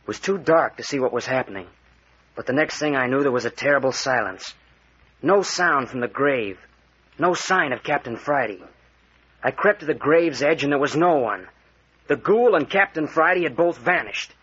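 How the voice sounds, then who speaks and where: A man's voice: mid-range voice, lively intonation, normal speed, fairly bright tone, slightly rough. An actor playing an earnest, hot-headed young American man, urgent and forthright, in an old radio drama. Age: young adult. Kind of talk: 1940s American radio acting. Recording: muffled vintage radio recording.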